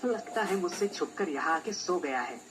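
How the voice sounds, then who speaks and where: A man's voice: high voice, animated, normal speed, noisy background. A man voicing a brash, foolish young village man in a storybook tale, blunt and demanding. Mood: pondering. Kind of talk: storybook voice acting. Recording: music behind the voice.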